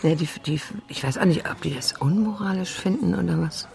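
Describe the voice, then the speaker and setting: A woman's voice: fairly low pitch, a little fast, vocal fry, darkish tone, soft background music. A woman playing a candid widowed grandmother in a naturalistic radio play, speaking frankly and a little hesitantly. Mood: candid, chatty. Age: 60s or older. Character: candid widowed grandmother at senior speed dating.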